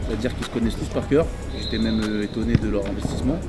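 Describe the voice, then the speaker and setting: Earnest coach, music behind. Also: male voice, lowish voice, racing. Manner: earnest, hesitant with fillers. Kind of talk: interview answers. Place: outdoors at a football event.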